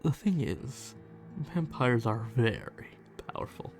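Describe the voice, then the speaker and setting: A man playing a smug, condescending vampire who teases his prey with quiet menace in an ASMR roleplay. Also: mid-range voice, expressive. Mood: sly, skeptical. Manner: condescending, slow, teasing, menacing. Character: smug, teasing male vampire stalker toying with his hunter. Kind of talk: ASMR roleplay, acted monologue.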